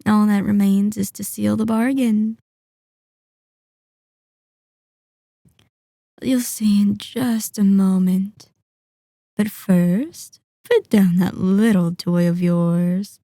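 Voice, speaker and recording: A woman voicing a centuries-old, sadistic and possessive vampire in an intimate ASMR roleplay, speaking in a deliberate, taunting, commanding manner. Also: mid-range voice, flat delivery, creaky, dark tone, no room sound, clean studio sound. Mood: gloating, amused. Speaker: audio roleplay performer.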